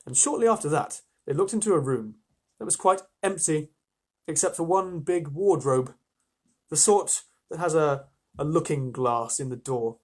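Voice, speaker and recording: A man with a husky voice reading a children's story expressively, with chatty asides. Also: medium pitch, darkish tone.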